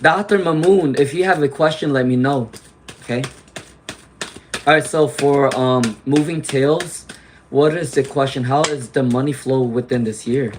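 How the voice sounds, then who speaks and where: A man doing a live tarot reading, chatty and reassuring, clearing his throat often as he loses his voice to laryngitis. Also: mid-range voice, unhurried, a little hiss or hum. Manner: chatty, reassuring, frequent "okay?" and "all right?" tags. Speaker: tarot reader; teacher.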